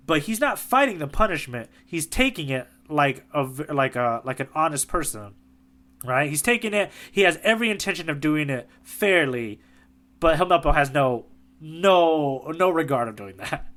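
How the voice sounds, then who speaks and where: Bright animated male podcast host. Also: fairly high pitch, normal speed. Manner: animated, laughs often, many fillers. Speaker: podcast co-host, tour guide. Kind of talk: casual podcast chat.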